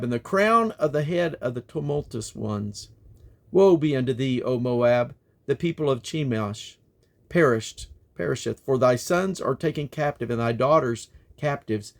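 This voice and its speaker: A man reading scripture aloud in a solemn, declamatory manner, with measured phrasing. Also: medium pitch. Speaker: Bible reader. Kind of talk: reading scripture aloud, King James text.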